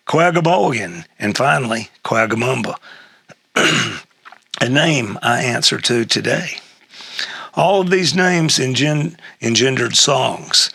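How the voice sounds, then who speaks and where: Gravelly, husky older American man reading aloud. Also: medium pitch, sharp tone, no echo, studio quality. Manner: halting, with throat clearing and chuckles. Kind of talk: reading a personal humorous essay aloud.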